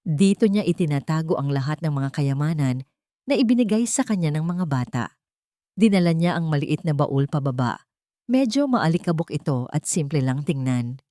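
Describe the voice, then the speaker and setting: A woman with a Filipino accent narrating a dramatic scripted story in deliberate, short phrases with pauses. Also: low-pitched, expressive, mellow, no echo, studio quality.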